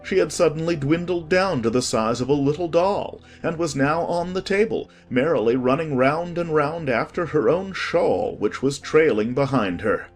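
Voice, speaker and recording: A man narrating a scripted storybook in an expressive, deliberate way. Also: fairly high pitch. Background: music.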